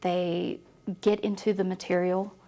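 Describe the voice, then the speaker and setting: A female academic answers interview questions off the cuff. Her voice has a marked creak and stays quite level in pitch. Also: little pitch movement, a little slow, warm tone. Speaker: university professor. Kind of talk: unscripted news interview.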